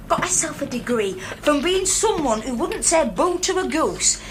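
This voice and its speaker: An actress playing a fiery, defiant miners' wife and activist with a Northern English accent and a rousing, plain-spoken way of talking in a naturalistic radio drama. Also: female voice, high-pitched, fairly bright tone, a little hiss or hum, poor audio.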